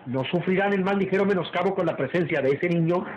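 An actor playing a stern, proud master of the house in an old radio drama, speaking formally and emphatically. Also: male voice, high voice, fast, a little mellow, natural voice. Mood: stern, lofty. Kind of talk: old Latin American radio drama acting. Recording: muffled old radio serial, background noise.

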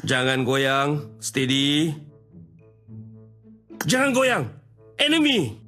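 A man's voice: mid-range voice, very animated, very slow, sharp tone, faint music behind, full character voice. A man playing a tough, bossy Malaysian gangster boss in dramatic game catchphrases, with a Malaysian accent. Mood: menacing, commanding.

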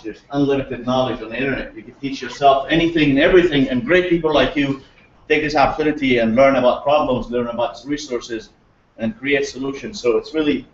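Middle-aged guy from syria presenting, lots of uh and restarts, dull lo-fi sound with faint noise. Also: mid-range voice. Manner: enthusiastic, many fillers and restarts. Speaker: guest speaker. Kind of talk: conference talk. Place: large lecture room, indoors. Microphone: far camera. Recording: roomy echo, distant, dull lo-fi.

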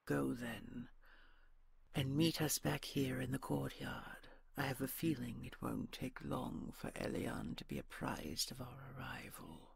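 A woman playing an imperious red dragon queen in an audio roleplay, measured and regal, with dry mockery and menace. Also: very deep voice, no room sound, full character voice. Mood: regal, cold. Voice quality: gravelly, husky.

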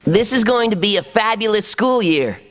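A man's voice: high-pitched, expressive, moderate pace, background noise. A man voicing a keen young wizard class president, giving a peppy speech in a children's cartoon. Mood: enthusiastic. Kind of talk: animated children's story dialogue.

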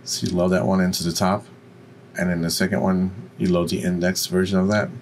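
A man with a deep voice giving an unscripted, step-by-step software tutorial. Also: level tone, a little fast, slight background noise. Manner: instructional, step by step. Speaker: tutorial presenter.

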